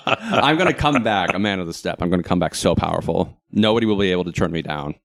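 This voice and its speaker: A man co-hosting a casual, humorous chat, telling stories in a wry, animated and opinionated way. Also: sits low, fast, gravelly, dry recording, clean studio sound. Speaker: talk show co-host.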